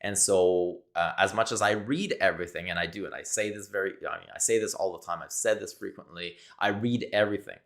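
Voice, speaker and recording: Deep-voiced Québécois French man thinking aloud. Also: fairly quick, hardly any pauses, fairly bright tone. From Quebec, Canada. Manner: searching, repetitive, thinks aloud. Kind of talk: solo monologue to camera. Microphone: camera mic, talking to camera.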